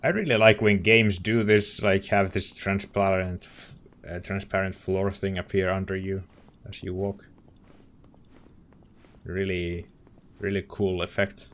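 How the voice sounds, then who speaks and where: A man giving casual let's play commentary, hesitant, reacting in short, halting bursts. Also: sits low, level tone, a bit bright.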